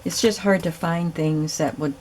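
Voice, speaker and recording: Hoarse raspy woman talking thru her crafting, low voice, chatty n relaxed. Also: normal speed, background noise. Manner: relaxed, chatty, thinking aloud while working. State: hoarse and raspy from little sleep. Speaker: craft video host. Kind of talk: casual crafting narration. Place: home craft room.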